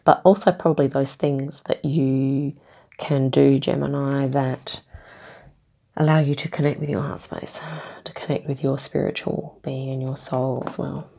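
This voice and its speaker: A woman giving a casual tarot reading, rambling gently with lots of ums and okays, a bit nasal from a cold. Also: very deep voice, level tone, unhurried, creaky. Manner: meandering, many ums and okays, gentle reassuring tone. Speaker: tarot reader.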